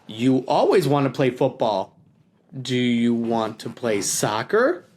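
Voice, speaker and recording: A man's voice: medium pitch, very animated, takes it slowly, a little mellow. A man voicing a cheerful, persuasive young boy in a children's story, upbeat and questioning. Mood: puzzled. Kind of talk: children's storybook read-aloud.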